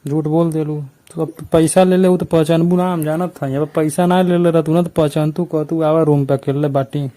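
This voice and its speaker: A man's voice: a little high, steady tone, moderate pace, dark tone. Muffled rustic Bhojpuri man on phone. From rural Bhojpuri-speaking north India. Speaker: caller. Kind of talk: casual phone conversation. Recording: dull muffled phone call recording.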